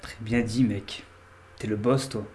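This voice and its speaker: Chatty French gamer with a stuffy nose. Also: male voice, lowish voice, fairly even intonation, a little slow, a little mellow, a little hiss or hum. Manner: chatty, joking. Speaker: gaming youtuber. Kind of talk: casual let's play commentary.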